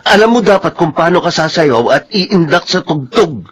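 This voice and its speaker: A young man in a Filipino radio drama. His voice is very high for a man. He sounds earnest and concerned, lecturing like a worried friend. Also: animated, normal speed, a bit bright, breathy edge. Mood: earnest, advising. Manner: earnest, sermonizing in proverbs.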